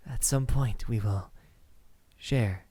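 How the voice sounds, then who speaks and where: A man playing a lonely, centuries-old vampire suitor in an ASMR roleplay, speaking softly and haltingly with tender words that slip into whispers. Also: mid-range voice, slightly rough, no echo. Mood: shyly confident. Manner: soft-spoken, halting, tender, slipping into whispers.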